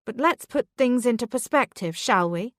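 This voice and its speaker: Woman narrator doing a proud wounded mum, forceful and dry. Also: medium pitch, animated, measured pace, dry recording, lightly acted. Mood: defiant. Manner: firm, insistent. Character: defiant mother, proud and wounded.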